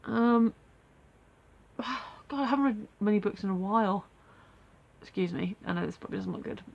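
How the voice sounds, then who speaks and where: A woman talks into her camera at home, her nose stuffed up and snuffly from a cold. She rambles along casually, laughing at herself and filling gaps with ums and sighs, in a mid-pitched voice. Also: moderate pace, vocal fry, darkish tone, dull audio. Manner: rambling, self-deprecating, many fillers. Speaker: vlogger. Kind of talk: casual daily video diary.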